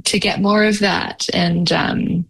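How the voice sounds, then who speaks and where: A woman's voice: on the low side, fairly even intonation, slow pace, vocal fry, a little hiss or hum. A young woman musician from New Zealand with a mixed New Zealand and Canadian accent, chatting casually in an interview with lots of fillers and laughs. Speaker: musician guest.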